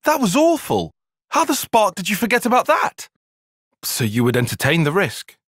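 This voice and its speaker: An actor playing a guarded, analytical young soldier-tactician in a video game, with formal, bookish phrasing and a dry, prickly edge. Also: male voice, high voice, wildly swooping pitch, normal speed, fairly bright tone, no echo, full character voice. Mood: agitated, outraged. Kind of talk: video game cutscene acting.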